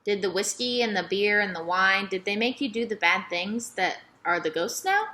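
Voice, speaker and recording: A woman's voice: medium pitch, lively intonation, moderate pace, bright, forceful tone. A woman voicing an innocent, curious young girl who asks earnest, hesitant questions in a children's storybook. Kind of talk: children's novel read-aloud.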